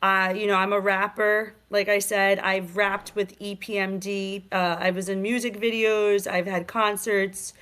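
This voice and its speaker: Bright, energetic New York woman. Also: mid-range voice, unhurried, few pauses, clean tone. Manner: energetic, streetwise, many fillers like "like" and "you know". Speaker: guest, game creator. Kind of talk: casual interview chat. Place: virtual talk show, remote.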